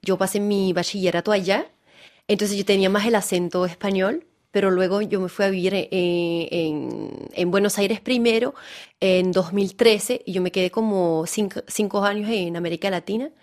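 A French woman in her thirties speaks Spanish with a Venezuelan-tinged accent, her voice sitting at a mid pitch. She talks in a chatty, relaxed way as a radio guest in a studio. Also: normal speed. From France. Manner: chatty, relaxed, often laughing, full of "digamos" and "como que". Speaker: actress and theater director, guest. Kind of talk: radio interview.

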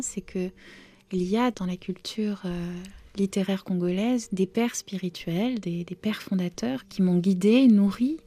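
Soft-spoken woman, Romanian native. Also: mid-range voice, no echo. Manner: thoughtful, hesitant, many pauses and fillers. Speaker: novelist guest. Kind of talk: reflective interview answers.

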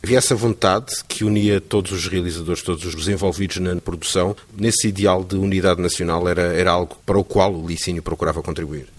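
A man interviewing a guest, asking calm, formal questions. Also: low-pitched, fairly quick, few pauses.

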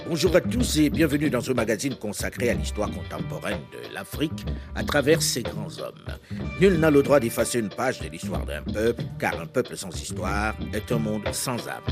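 A man hosting a history radio program, reading scripted documentary narration in a measured, solemn way. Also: fairly low pitch, very animated, music in the background.

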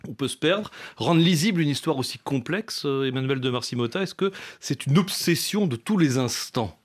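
Radio host guy, mid pitch, calm chatty intro, little stumbles here and there. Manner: measured, conversational, small hesitations. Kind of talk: radio culture show presenting.

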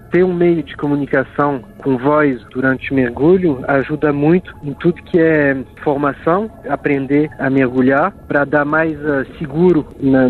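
A man from France explaining things in an interview, searching for words as he goes. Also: on the high side. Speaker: interviewee, diver and project developer. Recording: narrow phone-like line, music behind.

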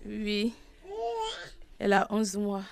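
A woman's voice: mid-range voice, monotone, slow pace, creaky, a crowd in the background. A woman with an Ivorian accent telling her own story simply as an interviewed mother. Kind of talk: simple spoken personal account.

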